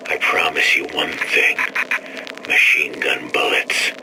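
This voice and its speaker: A man's voice: extremely low pitch, steady tone, takes it slowly, full character voice. An actor playing a bitter, combative man with a sardonic, menacing, colloquial manner in a game audio diary. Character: bitter, combative male rival swearing revenge. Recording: noisy old audio-diary recording.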